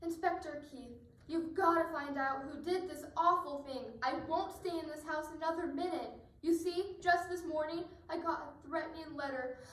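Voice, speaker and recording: A woman's voice: sits high, normal speed, a bit bright, far from the mic. A woman playing an anxious, evasive wealthy wife in an amateur radio mystery. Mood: frightened, indignant. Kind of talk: amateur radio drama acting. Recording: echoey room, off the mic.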